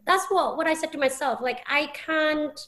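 A woman's voice: high voice, normal speed, pushed and bright. A young English woman, a podcast guest, talking casually with many restarts and fillers. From England.

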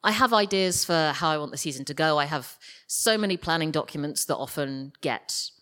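A woman's voice: sits low, lively intonation, fairly quick, bright, forceful tone. A woman speaking as a writer guest in a live interview, explaining things in a casual, rambling way. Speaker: guest, audio drama writer. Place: live podcast festival stage.

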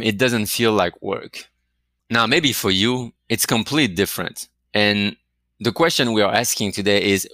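A man's voice: lowish voice, bright, forceful tone, dry recording, studio quality. A man giving a reflective coaching talk, asking the listener many questions. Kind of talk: motivational talk to camera.

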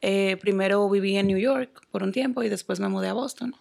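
A young woman from the Dominican Republic with a Dominican accent, answering interview questions in a soft-spoken way with frequent hesitations. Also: medium pitch, brisk, vocal fry. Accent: Dominican Spanish. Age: young adult. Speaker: guest.

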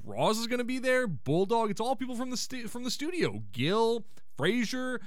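A man hosting a podcast, giving a casual, slangy comedic recap in an excitable way and acting out quotes. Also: very high-pitched, normal speed, no echo, clean studio sound. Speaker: podcast host.

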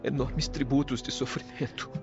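A man's voice: mid-range voice, fairly even intonation, moderate pace, vocal fry, lightly acted. An actor playing an earnest, brotherly male spirit guide in a radio drama, with a formal Brazilian delivery. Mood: grave, solemn. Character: earnest, warm-hearted male spirit guide and devoted friend. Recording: dull lo-fi radio drama with prominent music. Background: music.

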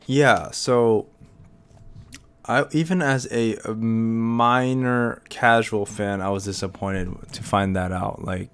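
A man's voice: on the low side, slow, a bit bright, smooth. A young American man hosting a casual solo podcast, rambling with many fillers and restarts. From United States. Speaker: podcast host.

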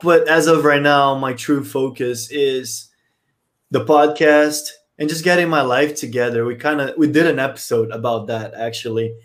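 Relaxed young man from Brazil. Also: mid-range voice, normal speed. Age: 20s. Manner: relaxed, many fillers. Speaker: podcast guest. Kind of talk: casual interview.

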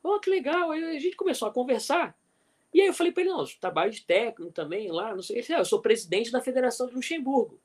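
Lively Brazilian man telling stories. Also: extremely high pitch, very fast. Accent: Brazilian Portuguese. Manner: animated anecdotes, frequent "entendeu?", chuckles. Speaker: guest volleyball coach. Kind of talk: casual storytelling interview.

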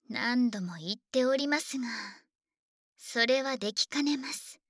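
A woman voicing a devoted, formal female dragon maid who dotes on her young master, in a soft, often whispered sleep roleplay. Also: high voice, no room sound, studio quality, exaggerated voice. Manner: formal, doting and gently teasing.

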